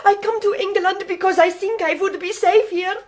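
A shrill, panicky foreign housemaid. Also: female voice, fairly even intonation, moderate pace. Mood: agitated fear. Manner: melodramatic, broken English. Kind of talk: radio drama acting.

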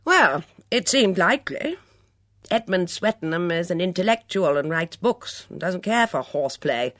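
A woman's voice: on the low side, expressive, moderate pace, fairly bright tone, no room sound, clean studio sound, pitched above the performer's own voice. A woman voicing a brusque, no-nonsense countrywoman in an audiobook, blunt and commanding, with clipped slang. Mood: brusque, matter-of-fact. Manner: blunt, bellowing, clipped upper-class slang.

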